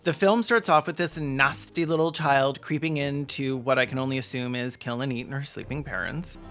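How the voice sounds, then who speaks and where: A man's voice: medium pitch, moderate pace, music in the background. A man doing sarcastic, snarky comedic movie commentary as a video host, with dramatic emphasis. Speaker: film reaction video host.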